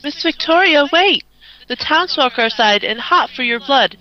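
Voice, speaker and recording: A woman's voice: high voice, animated, moderate pace, highs cut off. A woman playing a frantic servant warning her mistress, urgent and melodramatic, in an amateur horror radio play.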